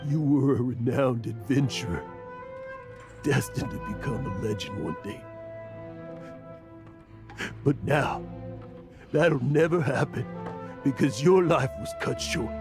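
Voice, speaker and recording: A man's voice: medium pitch, a little slow, long pauses, close to an everyday voice. A man playing an aging, boastful adventurer haunted by guilt, in a dramatic video game performance. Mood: anguished, mournful. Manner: dramatic, emotional delivery. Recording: music behind the voice. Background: background music.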